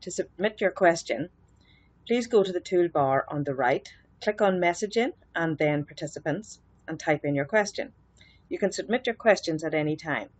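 A woman's voice: fairly low pitch, expressive, moderate pace. A middle-aged woman with an Irish accent presenting a webinar over a laptop webcam in a small office, explaining earnestly with fillers and restarts. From Ireland. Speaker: webinar presenter, social worker. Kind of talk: webinar lecture. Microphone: laptop webcam, video call.

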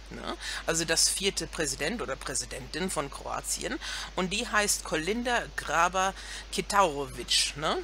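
A woman's voice: sits low, bright, forceful tone, a little hiss or hum. A woman in her thirties whose first language is English, chatting in German as co-host on a headset at home, hesitant with many restarts. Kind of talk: casual chat.

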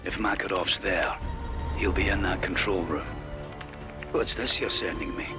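An actor playing an older, wry Scottish military mentor in a video game, giving a measured, matter-of-fact mission briefing in a Scottish accent. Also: male voice, sits low, music in the background.

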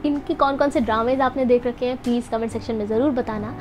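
A young woman's high voice runs through a chatty, upbeat voice-over for her vlog. She talks straight to the viewers and keeps things friendly. Faint music plays behind her. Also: fairly even intonation, fast talker. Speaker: food and event vlogger. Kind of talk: voice-over narration mixing Hindi and English.

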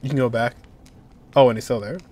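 A young man casually commenting on a video game as he watches, relaxed and chatty. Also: mid-range voice, fairly quick, a little hiss or hum. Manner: relaxed, chatty, reacting aloud. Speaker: gaming reaction streamer. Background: game music.

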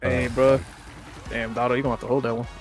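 A man's voice: mid-range voice, little pitch movement, fast talker, over music. A man joking and teasing his friends on voice chat during a game stream. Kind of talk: casual voice chat banter.

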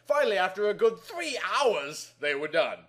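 A man narrates fiction with exaggerated drama. His voice sits high for a man and leaps around a lot, stretching and pushing phrases. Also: sing-song, moderate pace, a bit bright. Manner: theatrical, exaggerated delivery. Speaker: narrator reading amateur fan fiction aloud.

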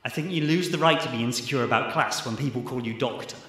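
A man's voice: mid-range voice, fast talker, fairly bright tone, close to an everyday voice. A man acting a chatty, wry young geology researcher in a radio drama. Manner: wry, talkative. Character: chatty, skittish male geology postdoc, poetry-loving and wry. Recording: echoing, cave-like reverberant sound.

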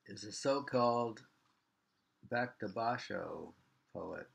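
Older guy in his 60s reading poetry slowly, gravelly, stops and repeats stuff. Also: lowish voice. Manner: halting, repeats lines and names. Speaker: poetry reader. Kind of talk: informal poetry reading with commentary. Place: small living room at home.

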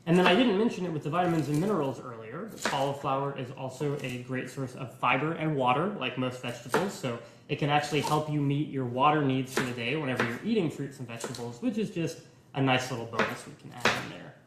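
A young man in his twenties giving a relaxed, step-by-step live cooking demonstration in a home kitchen, filmed by a camera at a distance. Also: mid-range voice, fast, reverberant, background noise. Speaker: cooking instructor.

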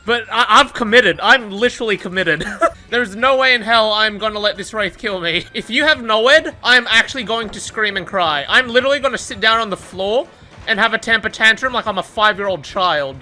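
A young man commentating his own gameplay, excitable and swearing, shouting at the game and laughing, a bit worn out. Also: sits high, moderate pace, faint music behind. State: tired, says his voice is going. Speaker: gaming YouTuber.

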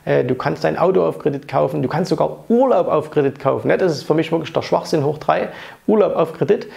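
A man's voice: fairly high pitch, fast talker, vocal fry, darkish tone. A man in his thirties giving blunt, opinionated money advice straight to camera in an office, speaking on a lapel mic and dropping frequent fillers and tag questions. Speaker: finance coach and channel host. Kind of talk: casual to-camera advice talk. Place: office with framed pictures, indoors.

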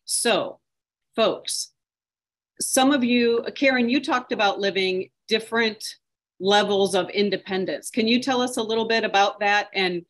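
A woman's voice: mid-range voice, unhurried. Woman moderating a video call panel.

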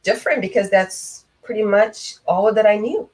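A middle-aged woman from Brazil, a guest on a video call, speaking slowly and reflectively with many pauses and fillers. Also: medium pitch, a little mellow. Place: small room indoors. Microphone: webcam on video call.

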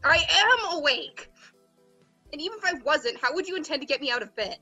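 A woman's voice: high voice, big pitch swings, brisk, bright, forceful tone, soft background music, voice pushed up, full character voice. A woman voicing a whiny, grandiose teen boy. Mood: melodramatic protest. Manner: whiny, melodramatic protests. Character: sleepy dramatic teenage boy calling himself a great wizard. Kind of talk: fan voicing of a visual novel.

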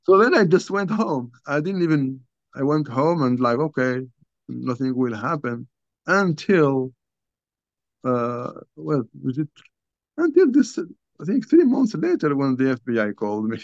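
Older algerian guy telling his story on a video call, halting with lots of restarts, dull dry sound. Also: a little high, very animated, no room sound. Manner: halting, restarts often, many you-knows. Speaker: guest author. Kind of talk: conversational storytelling. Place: small home room, indoors.

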